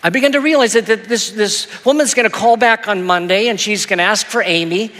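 A male pastor telling a story in a sermon, with a soft, soothing voice and a gentle, self-deprecating manner that stumbles into little repeats. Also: high voice, a little fast. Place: church auditorium. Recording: long hall reverb.

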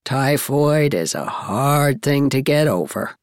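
A blunt old village busybody, acted out by a woman narrator in a storybook, pitched way down and slow. She is forthright and emphatic, and her tune swings widely. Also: lively intonation, no echo, studio quality, exaggerated voice. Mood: concerned, emphatic. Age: older. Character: blunt, opinionated older woman, village gossip and matchmaker.

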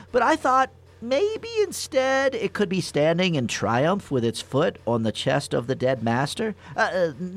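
A man playing a nervous, befuddled boss in a comic radio play, stammering and apologetic. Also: fairly high pitch. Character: nervous, meek, befuddled male rabbit boss turned underling.

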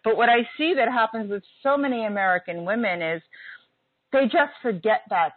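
A woman's voice: on the high side, normal speed, no echo. A woman in her forties hosting a casual chat, talking emphatically and chattily. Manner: chatty, emphatic, laughs often. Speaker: host. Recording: tinny phone-like line.

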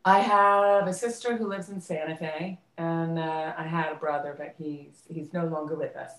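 A low-pitched American woman speaks as a guest in a casual interview. She recounts her own story reflectively, pausing to rephrase. The sound is roomy and echoey. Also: fairly even intonation, fairly bright tone. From United States, suburbs of Washington DC, then California. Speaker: interview guest, theater actress and director.

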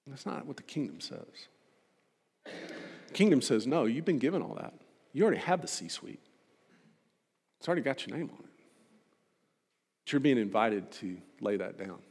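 A man delivering a sermon to a congregation as a preacher, emphatic and rhetorical, building points through repetition and pointed pauses. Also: medium pitch, sing-song, creaky, darkish tone, husky. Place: large reverberant hall. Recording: long hall reverb.

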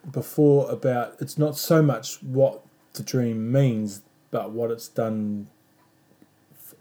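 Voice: mid-range voice, steady tone, slow, mellow. An interviewer who mostly gives short affirmations and asks probing follow-up questions. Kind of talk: conversational interview.

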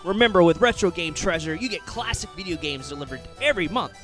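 Upbeat podcast host with a lively voice. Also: male voice, high-pitched, fast talker, over music. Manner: upbeat, hyping. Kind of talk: casual chat and ad reads.

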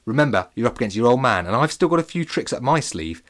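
Guy doing a jokey dad, boasty, lively. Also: medium pitch, fast talker, close to an everyday voice. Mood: teasing. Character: teasing confident middle-aged dad.

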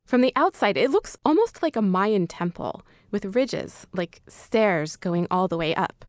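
A woman's voice: medium pitch, lively intonation, a little slow, no echo, clean studio sound. A woman hosting and narrating a scripted audio documentary. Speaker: podcast host and narrator.

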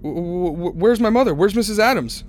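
A man's voice: sits high, lively intonation, fairly quick. An actor playing a frightened, haunted man on a road trip who stammers anxiously as he tells his story in a radio drama. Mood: shaken, distraught. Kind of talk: radio drama first-person narration and acting. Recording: noisy radio drama recording, vehicle ambience.

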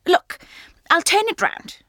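A woman playing an exasperated daughter, brisk and chiding, in an audio drama. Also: pushed and bright, dry recording, studio quality. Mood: exasperated, coaxing. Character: exasperated daughter fussing over her grieving mother.

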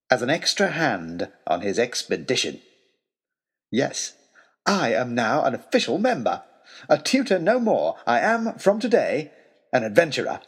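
A man's voice: mid-range voice, slightly rough. A man playing an earnest tutor turned adventurer, reading out a letter in a formal, eloquent, wryly self-deprecating way. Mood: proud, buoyant. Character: earnest, wordy young male tutor turned would-be adventurer. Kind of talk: dramatic reading of a letter, storytelling.

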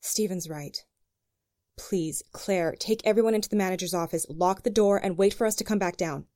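A woman's voice: medium pitch, normal speed, a little mellow, close to an everyday voice. A woman playing a determined, take-charge agent in an audio drama, speaking with urgency. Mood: firm. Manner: commanding, urgent.

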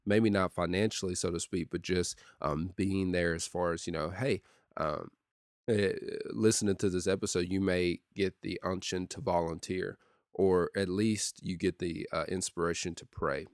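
Young adult youth pastor, very low deep voice, dry close sound, relaxed podcast chatter. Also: male voice, normal speed, dry recording. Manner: steady and conversational with many ums and uhs. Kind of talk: casual solo podcast talk.

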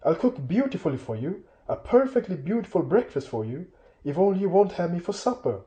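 A timid little hobbit in a children's tale, performed by a man, pitched high and anxious. He is polite and pleading, tripping over his words in fright. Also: very animated, a little fast, dark tone.

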